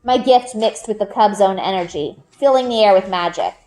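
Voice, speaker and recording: A woman reading a children's book aloud like a teacher, with expressive storytelling emphasis and dramatic pauses. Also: mid-range voice, rough recording.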